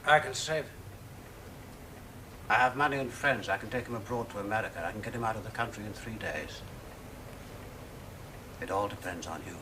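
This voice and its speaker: A man's voice: fairly low pitch, moderate pace, long pauses, background noise, natural voice. An actor playing a worthless, desperate man pleading his love in a radio drama. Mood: coaxing. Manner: pleading, swelling to passionate outbursts. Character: desperate, self-pitying middle-aged seducer.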